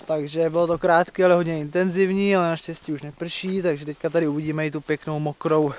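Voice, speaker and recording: A man's voice: on the high side, flat delivery, darkish tone. A young man chatting casually and in slang to a handheld camera while hiking on an outdoor trail. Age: young adult. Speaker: hiking vlogger. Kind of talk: casual vlog chat. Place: outdoors on mountain and forest trails. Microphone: handheld camera at arm's length.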